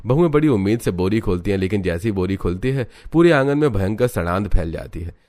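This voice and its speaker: A man's voice: on the low side, expressive, a little fast, a little mellow, close to an everyday voice. A man narrating a scripted cartoon family story as the storyteller.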